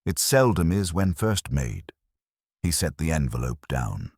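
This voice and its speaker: A man reading scripted literary fiction as narrator in a measured, formal, storytelling manner, with a husky voice. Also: rumbling low, big pitch swings, darkish tone, no room sound, clean studio sound.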